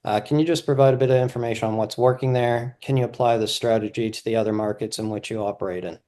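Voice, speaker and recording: A man's voice: medium pitch, steady tone, fast talker, mellow. A man moderating investor questions on a call in a businesslike, semi-scripted way.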